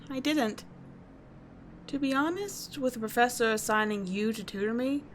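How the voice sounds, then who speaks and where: A woman playing a tough but kind teenage delinquent schoolgirl in an audio roleplay, talking casually and bluntly to the listener, with swearing and halting, confiding asides. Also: fairly high pitch, fairly bright tone, a little hiss or hum, close to an everyday voice.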